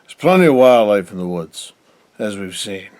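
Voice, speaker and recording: A man's voice: fairly low pitch, sing-song, normal speed, a little mellow, dry recording. A man playing a stern, authoritative wealthy father in an audio drama, speaking formally and with firm command. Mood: stern, resolute. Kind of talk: radio drama acting.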